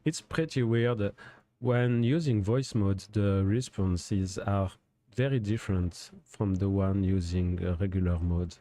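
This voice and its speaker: A man hosting a livestream, talking in a hesitant, halting way with many fillers. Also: low voice, mellow. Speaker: host testing a chatbot. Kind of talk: casual livestream talk.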